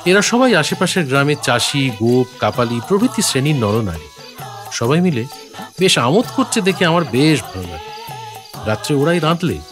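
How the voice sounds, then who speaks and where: An actor playing a thoughtful, courteous gentleman writer, narrating an audio story in a reflective, literary manner. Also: mid-range voice, very animated, normal speed, close to an everyday voice. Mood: descriptive, calm. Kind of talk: scripted story narration with acted dialogue. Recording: faint music behind the voice.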